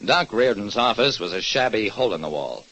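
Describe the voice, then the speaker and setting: A man's voice: fairly low pitch, expressive, a little fast, fairly bright tone. An actor playing a wry, hard-boiled private detective who narrates his case tersely in an old radio drama. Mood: wry, dramatic.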